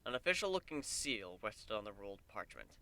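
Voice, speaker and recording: A man narrating a story as a dramatic reading, expressive and deliberate in his telling. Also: on the low side.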